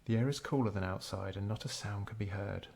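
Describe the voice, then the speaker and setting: A man narrating a scripted guided meditation in a measured, unhurried storytelling way. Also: lowish voice, creaky, darkish tone, slight background noise.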